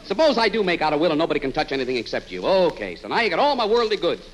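An American actor playing a cranky, stubborn, excitable husband in an old-time radio comedy, grumbling with exasperation. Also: male voice, fairly high pitch, rapid-fire. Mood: indignant ranting. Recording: noisy vintage radio recording.

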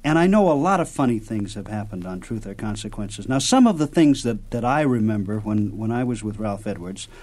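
A man's voice: lowish voice, lively intonation, fairly quick, hardly any pauses, darkish tone. A man working as a radio show host, interviewing a guest in a casual, conversational way.

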